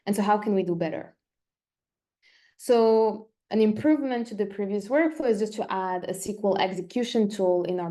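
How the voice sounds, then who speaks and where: Woman presenting, soft mellow voice. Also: medium pitch. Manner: methodical, step-by-step explaining. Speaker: company presenter in a technical webinar. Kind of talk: explanatory webinar presentation.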